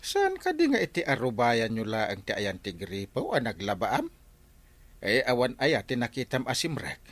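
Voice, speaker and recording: A man's voice: mid-range voice, huge pitch swings, normal speed, a bit bright, dry recording, exaggerated voice. A man playing a flustered, comical village captain in a comedy drama, hesitant and full of exclamations. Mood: questioning, helpful.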